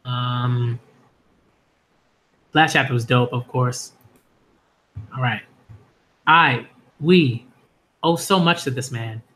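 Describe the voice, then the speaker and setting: A man hosting a casual live stream about manga, talking loosely with lots of fillers and getting excited and loud at big moments. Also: medium pitch, slow pace, pauses often. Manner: loose, rambling, heavy on fillers and "y'all"; excitable, rising into shouts at story moments. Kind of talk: casual live-stream chat and reading reactions.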